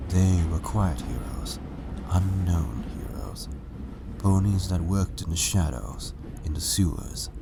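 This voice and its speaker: A man's voice: low-pitched, background noise. A man narrating a story as a scripted reading. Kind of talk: scripted fan fiction narration.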